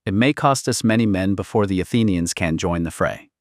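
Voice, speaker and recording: A man's voice: low voice, fairly quick, no echo, clean studio sound, natural voice. A man voicing a commanding, anxious queen and mother in an audiobook, with an imperious, grave manner. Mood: somber, resolute. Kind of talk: audiobook character dialogue.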